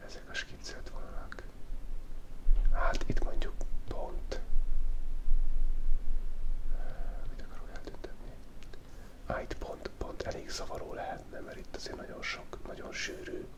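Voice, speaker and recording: Asmr person whispering while they draw, breathy, kinda jokey rambling, stays really even the whole time. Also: extremely high pitch, pauses often, low-quality recording. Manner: playful, joking, musing aloud. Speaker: ASMR video creator narrating a drawing. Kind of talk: whispered ASMR, rambling casual drawing commentary. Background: writing and drawing sounds, keyboard clicks.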